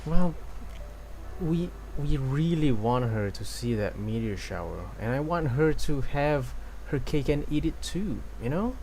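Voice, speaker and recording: A man streaming a game, chatting casually and reading dialogue aloud in a hesitant, halting way. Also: medium pitch, expressive, warm tone, faint music behind, poor audio. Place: live game stream, game music behind.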